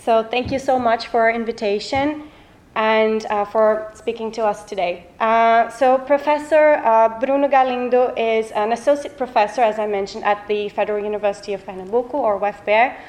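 A woman's voice: on the high side, fairly even intonation, normal speed, a little mellow. A woman from Brazil giving a semi-formal introduction of a guest speaker as a student chair.

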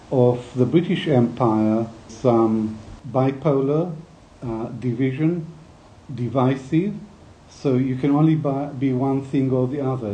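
An older man giving a reflective academic talk, speaking in a measured, deliberate way with hesitant pauses. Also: medium pitch, warm tone, poor audio.

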